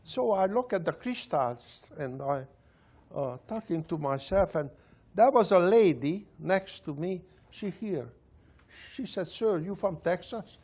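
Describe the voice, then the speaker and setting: An older man from Hungary with a Hungarian accent, telling stories in halting, broken English and laughing often. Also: sits high, animated, darkish tone. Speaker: panelist. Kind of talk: casual storytelling.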